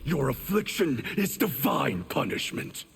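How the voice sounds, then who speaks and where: An actor playing a cold, power-hungry ninja villain in fighting-game dialogue, gravelly, measured and scornful. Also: male voice, medium pitch, big pitch swings, a bit bright, faint music behind, heavily acted.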